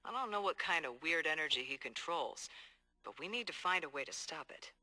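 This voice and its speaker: An actor playing a determined, hard-boiled police detective dictating earnest, streetwise case logs in a video game. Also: male voice, a little high, lively intonation, a little fast, bright, forceful tone. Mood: analytical, concerned. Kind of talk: video game audio log monologue.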